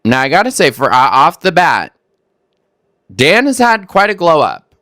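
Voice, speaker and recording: A man's voice: fairly high pitch, huge pitch swings, normal speed, bright, forceful tone, no room sound, clean studio sound. A man from Texas hosting a reaction video, making wry, skeptical remarks that stumble over restarts. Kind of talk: casual commentary on a clip.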